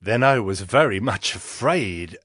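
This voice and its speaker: A man's voice: fairly low pitch, expressive, a little slow, fairly bright tone, no room sound, studio quality. A man playing a devout, earnest cupbearer in a dramatized audio Bible, solemn and reverent in his delivery. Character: Nehemiah, devout earnest cupbearer to an ancient king. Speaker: narrating lead in dramatized scripture. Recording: music behind the voice.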